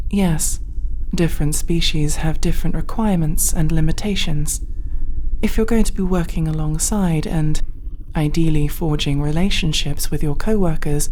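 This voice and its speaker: A woman playing an aloof alien crewmate in a sci-fi ASMR roleplay, speaking in a stiff, precise, clinical way with deliberate pauses. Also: sits low, mellow, slight background noise, natural voice. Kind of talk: ASMR roleplay addressed to the listener.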